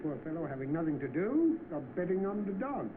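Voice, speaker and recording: An actor playing a smug, scheming swindler, suave and bossy in 1930s film style. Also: male voice, a little high, sing-song, brisk. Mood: smug, boastful. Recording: noisy, tinny old film soundtrack.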